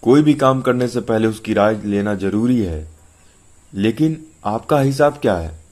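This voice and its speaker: A man's voice: fairly low pitch, lively intonation, measured pace, darkish tone. A man voicing a worried, protective mother and defiant wife, pleading and reproachful in a narrated story. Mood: quietly reproachful.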